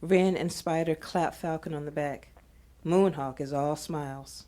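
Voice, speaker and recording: A woman's voice: low-pitched, measured pace, mellow. A woman host reading scripted screenplay narration for an audio drama.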